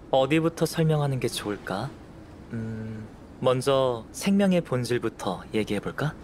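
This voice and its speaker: An actor voicing a calm, scholarly young male alchemist in a game, soft-spoken and analytical, with music playing behind. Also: mid-range voice, slight background noise, natural voice. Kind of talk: game voice acting.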